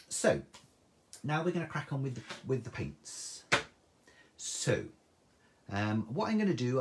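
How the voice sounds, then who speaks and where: A man's voice: mid-range voice, darkish tone, a little hiss or hum. A man with a soothing voice, chatting through a live craft tutorial and reading out viewer comments. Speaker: craft demonstrator.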